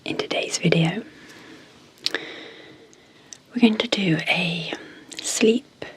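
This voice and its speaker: A woman with a slightly low voice leads an ASMR sleep hypnosis. She speaks very slowly and gently, close to a whisper. Long, deliberate pauses separate her short phrases. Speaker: ASMR host and clinical psychologist.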